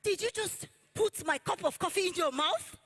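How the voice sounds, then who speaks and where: A woman's voice: very high-pitched, moderate pace, fairly bright tone. A woman playing an imperious, short-tempered madam of the house in a theatrical stage play, scornful and bossy. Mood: outraged. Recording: noisy hall with long reverb.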